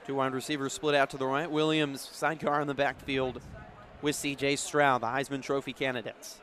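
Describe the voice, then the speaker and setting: A man doing live radio play-by-play, moving between measured setup and urgent calls. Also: medium pitch, level tone, fairly bright tone. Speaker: play-by-play sports announcer. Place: outdoor stadium broadcast position. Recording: background noise of an outdoor stadium.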